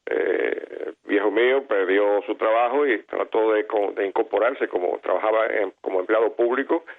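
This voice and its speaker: A man's voice: on the low side, normal speed. Elderly Cuban man recounting on a phone line. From Cuba. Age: 80s. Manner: earnest and storytelling, with self-corrections. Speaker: interview guest. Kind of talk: personal recollection in an interview.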